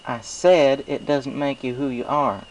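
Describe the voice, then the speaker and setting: A man's voice: mid-range voice, steady tone, a little slow, slight background noise, pitched above the performer's own voice, close to an everyday voice, low-quality recording. A man voicing a friendly, honest young female country pony with a folksy drawl, in a storybook reading. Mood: earnest, reassuring. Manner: folksy drawl with dropped g's.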